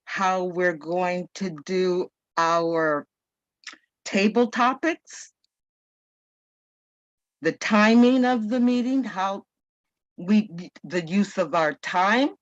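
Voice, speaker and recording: Woman, evaluator addressing online meeting, extremely slow, halting phrases, dry slightly muffled sound. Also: medium pitch, no echo. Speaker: general evaluator at a speaking club. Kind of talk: explaining her meeting role to a group. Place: video call.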